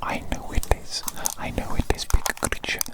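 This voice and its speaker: A performer voicing an eccentric, fussy evil scientist in a breathy whisper, repeating phrases with theatrical deliberation for an ASMR roleplay. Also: normal speed, natural voice. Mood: fussy, reassuring. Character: eccentric evil doctor, a fussy mad scientist tending his creature.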